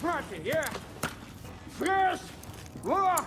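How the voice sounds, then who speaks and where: An actor playing an urgent wartime medic, giving commands in a dramatic video game. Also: male voice, extremely high pitch, big pitch swings, very slow, noisy background, heavily acted.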